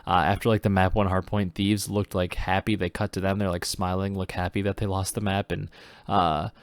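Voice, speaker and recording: A young man from Wisconsin hosts a solo podcast with a deep, low voice. He is stuffed up from a cold and rambles casually, with lots of "uh" fillers and restarts. The sound is dry and close, with no room echo. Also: little pitch movement, a little fast. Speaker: podcast host.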